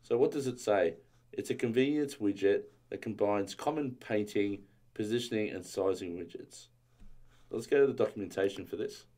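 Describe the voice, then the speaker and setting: A man streaming a casual coding tutorial, thinking aloud and reading documentation, his voice a little strained from yelling. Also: on the low side, normal speed.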